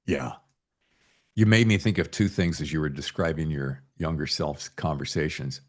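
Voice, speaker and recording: An American man hosting a podcast, telling anecdotes in a casual, animated storytelling manner. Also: rumbling low, normal speed. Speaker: podcast host; former radio morning show host.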